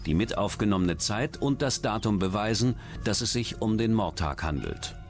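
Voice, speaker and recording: A man's voice: fairly low pitch, very animated, normal speed, music in the background. A man reading scripted true-crime narration as a documentary narrator.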